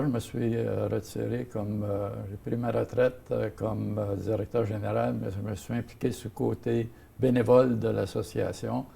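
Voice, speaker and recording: Older Franco-Ontarian man, gravelly and hesitant. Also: lowish voice, warm tone. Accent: Franco-Ontarian French. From Ottawa, Canada. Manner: hesitant, many fillers and restarts. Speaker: guest, seniors' association president. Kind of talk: casual interview talk.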